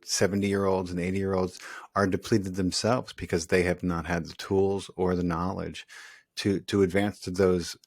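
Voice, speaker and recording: A man in his forties hosts a podcast in a low voice. He agrees readily and builds questions in halting, repeated starts. His voice sounds close and dry. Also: level tone, darkish tone, no room sound. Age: 40s. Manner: affirming, halting, repeats words. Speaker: podcast host. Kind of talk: casual chat.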